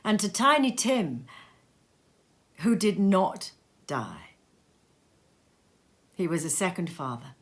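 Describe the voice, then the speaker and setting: A woman narrating a classic tale as an expressive storybook reading at home, lively and theatrical, with many changes of voice. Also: lowish voice, slow, pauses often. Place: cozy sitting room at home.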